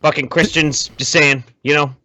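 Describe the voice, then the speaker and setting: A man's voice: fairly high pitch, fairly even intonation, normal speed, bright, forceful tone, no room sound. A man co-hosting a podcast with casual, emphatic, profanity-heavy ranting. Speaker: podcast co-host.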